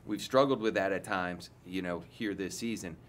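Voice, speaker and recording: A man's voice: low voice, moderate pace, slight background noise. A man in his forties, a head coach, giving candid, rambling post-game answers full of restarts, seated at a table mic in an indoor press room.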